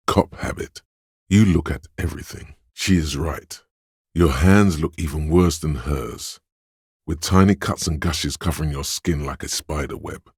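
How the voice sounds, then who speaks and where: A middle-aged man voicing a disembodied male inner sense of sight in a video game, calm and watchful, describing things in a measured, matter-of-fact way. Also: very deep voice, breathy edge, no room sound, studio quality, exaggerated voice. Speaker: voice actor. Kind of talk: scripted video game voice line.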